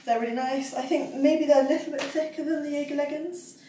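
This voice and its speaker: A woman's voice: high-pitched, fairly even intonation, brisk, mellow, far from the mic, a little hiss or hum. A young woman in her twenties chatting casually in a try-on haul, bubbly and giggly with lots of fillers. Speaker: fitness vlogger. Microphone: camera across the room. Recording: echoey room, voice from afar.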